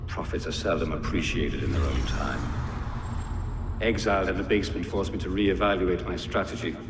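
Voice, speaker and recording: Man acting a deep-voiced, fanatical visionary villain in cavernous echo. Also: natural voice. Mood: coldly resolute. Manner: measured, grandiose, sermonizing. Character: calm older male fanatical visionary villain, coldly philosophical. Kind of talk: dramatic video game cutscene acting. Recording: cavernous long echo, clear background noise.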